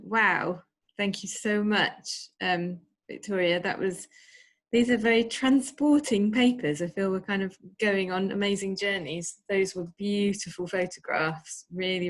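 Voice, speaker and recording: A woman informally chairing an online conference panel, effusive and appreciative in manner. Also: medium pitch.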